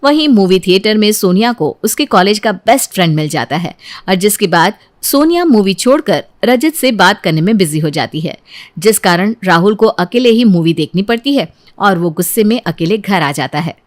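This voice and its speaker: A woman's voice: medium pitch, animated, moderate pace. A woman reading a scripted family story as its narrator.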